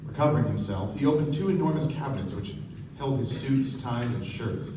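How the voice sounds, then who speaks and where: A man's voice: mid-range voice, animated, brisk, lightly acted. Distant echoing hall, noisy recording, a man playing polite wry young male narrator, radio drama. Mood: narrating, measured. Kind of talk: scripted narration in a live radio play.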